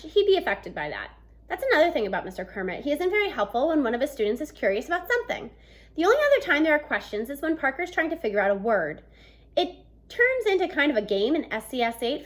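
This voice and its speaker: High, animated woman reading aloud. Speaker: read-aloud narrator. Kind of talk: expressive children's book reading. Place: small room at home, indoors.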